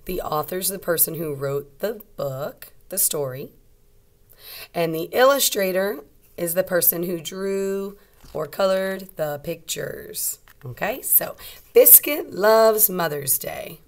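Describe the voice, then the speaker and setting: Female teacher, slightly low register, children's read-aloud lesson, simple explanations and frequent questions. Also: lively intonation, slow.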